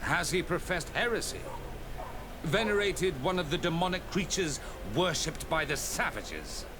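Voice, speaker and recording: An actor voicing a haughty, impatient religious inquisitor with zealous disdain in a video game. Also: male voice, fairly high pitch, big pitch swings, unhurried, background noise. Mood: disdainful.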